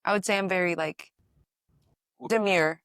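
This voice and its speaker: A woman's voice: fairly low pitch, fairly bright tone, no echo, studio quality. Friendly young woman from Texas. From Texas, USA. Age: young adult. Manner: friendly, attentive, quick short reactions. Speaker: guest, student. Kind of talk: casual interview chat.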